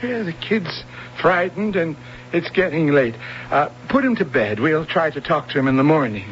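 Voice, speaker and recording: An American man playing an earnest police officer in an old-time radio drama, halting and concerned. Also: a little high, animated, lightly acted. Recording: dull noisy old radio broadcast, hum.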